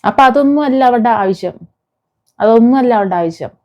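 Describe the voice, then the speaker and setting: Female video commentator, Kerala Malayalam accent, mellow timbre, indignant and informal, on a dull recording. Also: a little high, lively intonation, fairly quick. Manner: indignant, scolding, with rhetorical questions. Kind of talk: informal reaction commentary to viewers. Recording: dull, muffled lo-fi sound.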